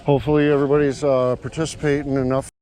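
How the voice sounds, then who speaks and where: A Canadian man bantering casually and profanely in a joking sales-pitch way, as a vlog host. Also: little pitch movement, rapid-fire, dark tone, slight background noise, poor audio. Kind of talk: casual banter, walkie-talkie chatter.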